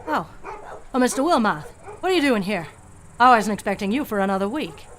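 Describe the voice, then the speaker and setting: An actress as an older country woman in a radio play, with a mid-pitched voice. She is fearful yet stubborn, telling what she knows in a grave, deliberate way. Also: sing-song, slight background noise, natural voice. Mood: alarmed. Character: fearful, stubborn old rural woman guarding alien secrets.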